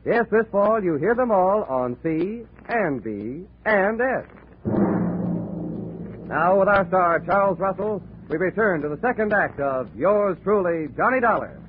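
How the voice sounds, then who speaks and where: A man announcing on a 1940s radio broadcast, with a bright, polished promotional delivery. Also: sits high, lively intonation, unhurried, noisy background. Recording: tinny old radio broadcast recording.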